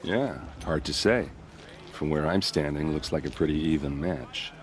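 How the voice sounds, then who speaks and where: An actor playing a cocky, flirtatious elite soldier in a video game, easygoing and teasing in manner. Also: male voice, a bass voice, moderate pace. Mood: boastful, flirtatious. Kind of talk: video game cutscene acting.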